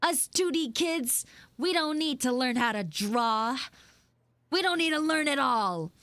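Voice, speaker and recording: A high, swooping anime schoolgirl played by a woman, bratty and dramatic in true tsundere fashion. She takes her time, whining and sulking theatrically as she chats to listeners in a live roleplay. The sound is close and dry. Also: animated, a little slow, a bit bright, dry recording. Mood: rebellious, dramatic. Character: bratty, dramatic tsundere two-dimensional anime girl, student. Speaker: streamer, voice actor. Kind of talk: live improvised audio roleplay, chatting with listeners.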